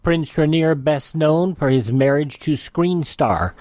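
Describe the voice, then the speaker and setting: A man's voice: on the high side, slow pace, darkish tone, no room sound. A man hosting a radio show, reading scripted on-this-day history items.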